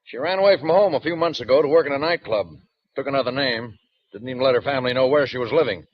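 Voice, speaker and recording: An actor playing a brisk, wry male police captain in a 1940s radio mystery, on a muffled old broadcast. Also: mid-range voice, lightly acted. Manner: brisk and teasing.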